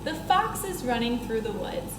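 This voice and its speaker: A woman voicing a stern male schoolteacher from a storybook, announcing spelling words with deliberate enunciation. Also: on the high side, sing-song. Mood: formal. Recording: roomy classroom echo, clear background noise.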